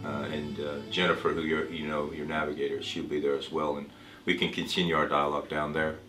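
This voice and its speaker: Deep male voice, acted reassuring physician, hesitant explanatory dialogue, bright tone, light background music. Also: level tone, a little fast. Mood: friendly, measured. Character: reassuring male physician talking with a cancer patient. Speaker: doctor in a training dramatization. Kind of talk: role-played consultation, semi-improvised dialogue. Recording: roomy echo with music underneath.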